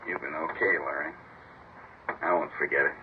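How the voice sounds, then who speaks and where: An actor playing a sullen, wounded criminal in a 1950s radio crime drama, speaking in a terse, subdued way. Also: male voice, very deep voice, fairly even intonation, normal speed. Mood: grudging, tough. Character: sullen, wounded male criminal in hospital bed. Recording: distant, noisy, muffled old radio recording.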